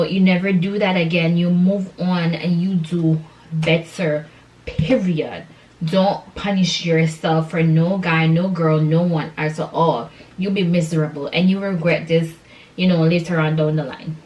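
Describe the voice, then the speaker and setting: Low-voiced young woman, distant mic. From Jamaica. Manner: emphatic, repeats key phrases for stress. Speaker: vlogger addressing subscribers. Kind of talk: casual motivational chat to camera. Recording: distant microphone.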